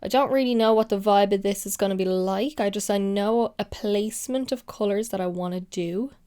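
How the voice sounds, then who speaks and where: A young woman vlogger chatting through a makeup tutorial, a little nasal and sniffly from a lingering cold, drifting into soft whispers now and then. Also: mid-range voice, brisk, clear, resonant tone. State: congested, recovering from a cold. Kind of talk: casual makeup tutorial chat.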